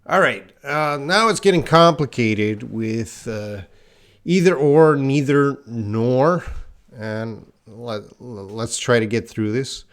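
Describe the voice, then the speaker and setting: A man from Canada teaching an English grammar lesson live online, explaining patiently and reading out viewers' comments. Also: medium pitch. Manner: slow, patient explaining, reads chat comments aloud.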